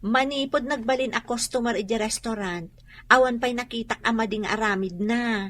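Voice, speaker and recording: A woman's voice: fairly high pitch, normal speed, fairly bright tone, no echo, natural voice. An actress with an Ilocano accent playing a practical, scolding older mother in a radio drama.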